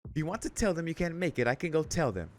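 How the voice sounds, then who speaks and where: A man voicing a polite, insistent young woman caretaker from a visual novel, in a soft lifted voice with careful phrasing. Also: fairly high pitch, sing-song, fast talker, pitched above the performer's own voice. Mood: firm scolding.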